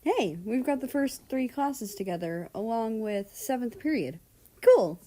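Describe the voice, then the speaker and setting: A woman voicing a friendly, energetic schoolboy who talks in an eager, casual way. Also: on the high side, unhurried, voice pushed up. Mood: enthusiastic. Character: cheerful, chatty, friendly schoolboy, short and energetic.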